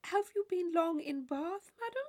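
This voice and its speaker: A woman voicing a witty, teasing gentleman in his mid-twenties, fluent and mock-formal, in a classic novel reading. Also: very high voice, animated, normal speed, voice pushed up. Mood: simpering, affected. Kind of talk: classic novel audiobook character voice.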